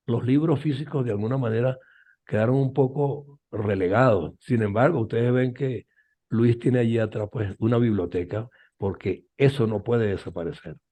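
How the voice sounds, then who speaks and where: An older male journalist leads the interview in a formal, measured way, pausing on fillers now and then. His voice is mellow and dark at an ordinary pitch. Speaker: journalist host.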